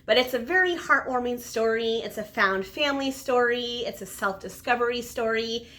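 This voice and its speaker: A woman's voice: fairly high pitch, moderate pace, fairly bright tone. A woman talking casually to viewers as a book reviewer, conversational and emphatic on key words. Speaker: book reviewer, video host. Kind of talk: casual book chat to camera.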